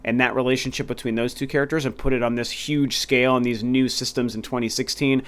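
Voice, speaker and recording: Young male game channel host, clear voice, stuffy and slightly nasal from a cold, excited and rambling. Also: medium pitch, quick pace. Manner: enthusiastic, rambling with restarts. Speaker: video game review host. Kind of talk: casual solo talk to camera.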